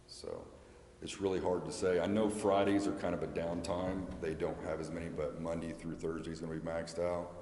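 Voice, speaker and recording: An American man answering questions at a public meeting as a school representative, explaining haltingly and repeating words. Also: low-pitched, little pitch movement, darkish tone. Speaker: school representative addressing a city council. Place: large indoor council chamber. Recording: echoey meeting hall with background noise.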